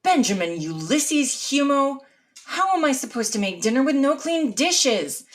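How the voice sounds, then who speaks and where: A woman's voice: sits high, sing-song, measured pace. A woman playing a weary, sarcastic, exasperated mother in an audio drama.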